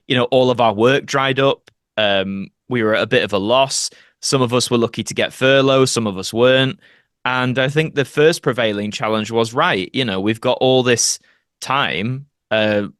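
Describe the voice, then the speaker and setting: A man's voice: medium pitch, fairly bright tone, no room sound, clean studio sound. A young man chatting casually as an interview guest, rambling and self-deprecating. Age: young adult. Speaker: podcast guest, actor and video creator.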